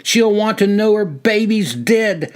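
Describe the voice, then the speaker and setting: A man's voice: sits high, expressive, measured pace, voice pushed up. A man voicing a harsh senior officer candidate who screams orders and mocks in sing-song. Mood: screaming.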